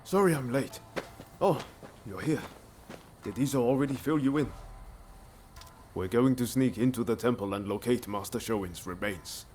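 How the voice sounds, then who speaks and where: An actor playing an easygoing, principled young samurai in a video game cutscene, speaking in a casual, laid-back way. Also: male voice, medium pitch, moderate pace, pauses often, a little mellow, soft background music, close to an everyday voice.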